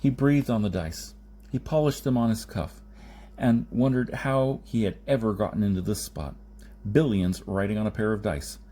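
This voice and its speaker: A man's voice: lowish voice, normal speed, dark tone. A man reading a science fiction story aloud as scripted audiobook narration, now and then stumbling over a phrase. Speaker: audiobook reader. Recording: noticeable background noise.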